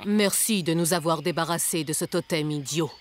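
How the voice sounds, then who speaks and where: Actress as a low-voiced, solemn forest judge. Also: female voice, moderate pace, a little hiss or hum, lightly acted. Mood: dry. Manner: solemn, deadpan storytelling. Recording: music behind the voice.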